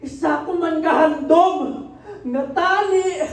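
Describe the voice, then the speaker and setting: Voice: very high voice, slow pace, heavily acted. An actor playing a resentful, hot-tempered student son with a Filipino accent in an amateur radio drama. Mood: resentful, angry. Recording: echoey room, distant microphone.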